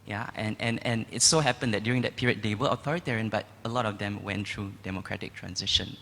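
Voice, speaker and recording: Low gravelly male questioner, halting speech, in an echoing hall with faint hum. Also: fairly even intonation, pushed and bright. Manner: halting, searching for words. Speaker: audience member asking a question. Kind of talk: question from the floor. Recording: long hall reverb with faint background noise.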